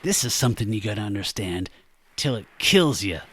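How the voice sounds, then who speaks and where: A man's voice: on the low side, big pitch swings, brisk, fairly bright tone, husky, heavily acted. A man playing a menacing bald biker thug in an audio drama, cocky and cruel, talking in sneering street-tough slang. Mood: threatening. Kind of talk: radio-style audio drama acting. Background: music.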